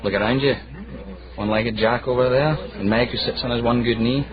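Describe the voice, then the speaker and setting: An actor playing a sardonic, hard-drinking advocate in a radio drama, wry and theatrically declaiming. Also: male voice, medium pitch, fairly even intonation, brisk, crowd noise, natural voice. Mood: merrily philosophical. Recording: muffled radio recording, crowded tavern.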